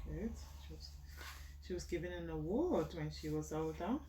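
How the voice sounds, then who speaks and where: A woman's voice: low-pitched, animated, moderate pace, dark tone, a little hiss or hum. A woman reading a children's picture book aloud to her child, teacherly, explaining as she goes and checking understanding with questions. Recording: roomy echo, distant from the mic.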